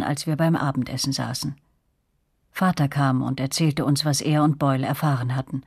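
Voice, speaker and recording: A reflective woman narrating memories of her girlhood in a radio play. The voice is very low for a woman, husky and closely recorded without room sound, measured and serious. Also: a little mellow, no echo, studio quality. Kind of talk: literary first-person narration in radio drama.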